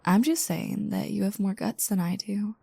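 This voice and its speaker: Woman acting a drowsy, creaky-voiced teasing girlfriend. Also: mid-range voice, dry recording. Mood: sincere, reassuring. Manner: soft, breathy asides, teasing, unhurried. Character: teasing, playful new girlfriend waking up drowsy. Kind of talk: intimate girlfriend roleplay audio.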